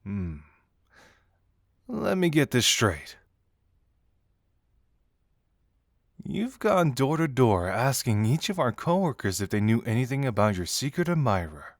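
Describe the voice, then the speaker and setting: A playful young male detective, acted by a man in an intimate audio roleplay. He is teasing and theatrical, musing aloud to the listener with very animated intonation. The sound is dry, with no room around it. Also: mid-range voice, moderate pace, dry recording. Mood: curious, amused. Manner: teasing, theatrical, musing hums and soft chuckles.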